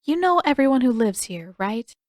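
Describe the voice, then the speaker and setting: A woman's voice: a little high, big pitch swings, moderate pace, no echo, studio quality, voice pushed up. A woman voicing a blunt, skeptical teenage girl with matter-of-fact retorts, in a story reading. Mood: questioning.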